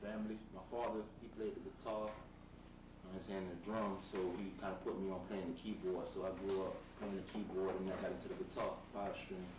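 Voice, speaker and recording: A man's voice: low voice, little pitch movement, fairly quick. A young man from South Florida, a rapper guest in a casual interview, talking in laid-back street slang and often saying "you feel me.". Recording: roomy, distant mic with noise.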